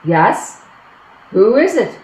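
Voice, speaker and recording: A woman's voice: mid-range voice, very animated, extremely slow, darkish tone. A woman acting a timid, anxious young Irish woman in a play reading, hesitant and plaintive, recorded in an echoey room. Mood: hesitant, fearful.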